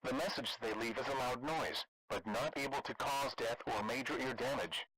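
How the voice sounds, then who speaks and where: A man playing a stern emergency alert announcer, reading an official broadcast message flatly and tersely. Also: medium pitch, normal speed, husky, low-quality recording.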